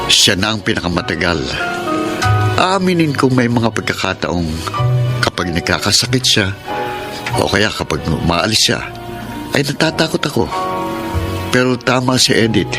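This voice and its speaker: An actor playing an anxious, fearful husband in a Filipino radio drama, with a Filipino accent. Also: male voice, lowish voice. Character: anxious, fearful husband haunted by past losses. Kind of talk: Philippine radio drama acting and narration. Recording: music behind the voice.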